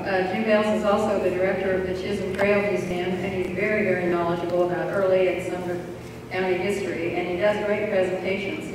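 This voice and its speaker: An older woman, vice president of a local society, reading an earnest introduction from her notes. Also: mid-range voice. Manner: reads from notes, plain and earnest. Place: large school auditorium. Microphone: handheld mic, recorded from a far camera. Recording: echoey hall from far off, audible noise.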